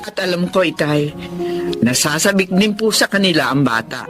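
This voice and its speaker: Actor as dutiful adult son, earnest and courteous, slightly high voice, Filipino radio drama. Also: male voice, expressive, moderate pace, loud music behind the voice, lightly acted. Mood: earnest, caring. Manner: polite, earnest. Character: respectful, caring grown child of old father.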